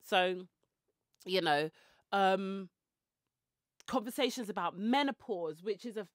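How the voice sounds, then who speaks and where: A woman's voice: medium pitch, fairly bright tone. A woman, talking straight into a handheld mic in a small indoor room. Her talk is chatty and rambling, full of tangents and little jokes at her own expense. The sound is dry and close, with no room echo. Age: 40s. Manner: chatty and rambling, with tangents and self-mocking asides. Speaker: podcast host. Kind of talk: casual chatty monologue. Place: small indoor room with shelves and clothes rails. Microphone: handheld mic held close.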